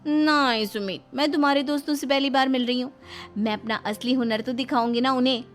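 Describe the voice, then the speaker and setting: A woman's voice: sits high, fairly even intonation, brisk, soft background music, close to an everyday voice. A woman voicing a cocky young wife who acts like a film action hero, theatrical and self-assured.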